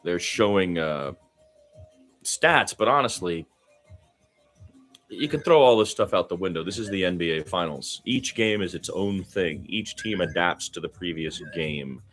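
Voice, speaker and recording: A man's voice: sits low, unhurried, a bit bright, faint music behind. A man doing amateur radio-style basketball commentary in a conversational, folksy manner. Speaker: play-by-play commentator.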